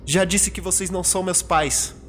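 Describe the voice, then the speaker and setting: Horror audio story role: desperate young girl, high-pitched, scared and defiant, performed by a male narrator, with music behind. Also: steady tone, moderate pace, fairly bright tone. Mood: furious, defiant. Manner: pleading, insistent protests. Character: terrified, furious, crying young girl resisting ghosts.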